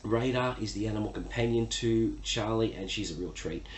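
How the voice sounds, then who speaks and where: A man getting over a cold, sounding nasal, giving a casual book review with many fillers. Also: on the low side. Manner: enthusiastic, measured, frequent uh fillers. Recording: echoey room with faint ambient noise.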